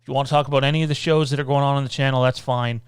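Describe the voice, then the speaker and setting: A man hosts a loose livestream Q&A into a desk mic. His voice is smooth and clear, sitting at an ordinary middle pitch, and the sound is close and dry with no room around it. He rambles along, reads out viewer comments and fills his pauses with "uh.". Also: steady tone, no echo. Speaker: podcast host. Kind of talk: casual livestream Q&A.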